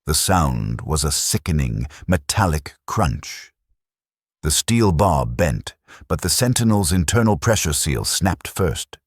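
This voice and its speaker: A man narrating a science fiction audiobook in a dramatic, measured delivery. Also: extremely low pitch, very animated, husky, no echo, studio quality.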